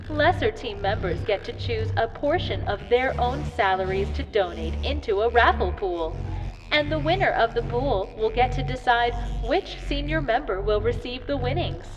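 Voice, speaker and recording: A woman's voice: fairly high pitch, natural voice. A woman playing an upbeat corporate announcer reading a scripted company message in a comedic audio drama. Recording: reverberant, muffled, music under it.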